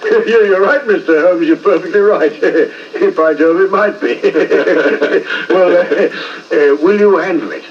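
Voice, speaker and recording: Bluff police inspector, muffled old radio. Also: male voice, high voice, slow pace. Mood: good-humoured. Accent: English. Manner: clipped, matter-of-fact, hearty. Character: brisk, bluff, affable Scotland Yard police inspector. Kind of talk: 1950s radio drama acting. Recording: muffled, hissy 1950s radio recording, distant.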